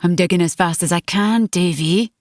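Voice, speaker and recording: A woman's voice: lowish voice, normal speed, a little mellow, no room sound, clean studio sound, close to an everyday voice. A woman voicing a brash, scornful grave-digging boy with a curt, bossy way of talking in an audiobook. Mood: irritated.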